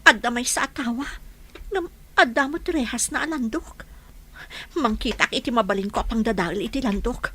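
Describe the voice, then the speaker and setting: A woman's voice: on the high side, normal speed, fairly bright tone, slight background noise, close to an everyday voice. A woman playing a wary, confused old grandmother in an audio drama, questioning and plaintive. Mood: anxious, scheming. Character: wary, confused old grandmother, held against her will.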